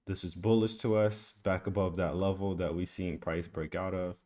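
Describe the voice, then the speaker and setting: Low, flat-voiced man walking through charts. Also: moderate pace. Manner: casual, repetitive chart walkthrough. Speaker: trading educator. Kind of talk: screen-share trading tutorial.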